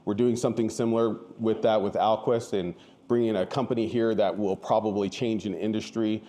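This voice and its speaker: A man answering as a city candidate at a forum, measured and soft-spoken. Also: fairly low pitch.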